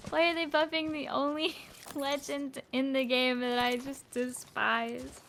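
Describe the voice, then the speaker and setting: A woman with a raspy voice streaming a game, chatting casually with playful, crude banter. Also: sits high, little pitch movement, takes it slowly, a bit bright, a little hiss or hum. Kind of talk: casual gaming chat.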